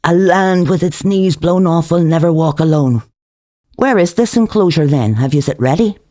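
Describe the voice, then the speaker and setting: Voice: mid-range voice, moderate pace, mellow, dry recording, studio quality, voice pushed up, natural voice. A narrator voicing a defiant, sardonic young Northern Irish woman in an audiobook, brash in manner.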